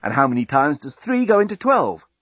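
A man's voice: a little high, big pitch swings, a little fast, a bit bright, dry recording, highs cut off, voice pushed up. A man voicing a friendly, cool-headed young woman, polite and composed, in a comic audiobook. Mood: coaxing.

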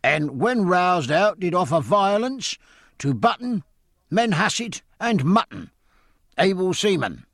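A man doing a hoarse, officious master-at-arms. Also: high-pitched, lively intonation, slow pace, a bit bright, dry recording, studio quality, pitched above the performer's own voice, exaggerated voice. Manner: hoarse, formal, reciting charges.

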